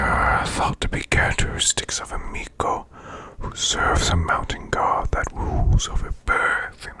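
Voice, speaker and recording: A man voicing a demon boss who reads eerie folklore as bedtime ASMR in a slow, hushed, growling rasp with long pauses. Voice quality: growling, gravelly, breathy rasp.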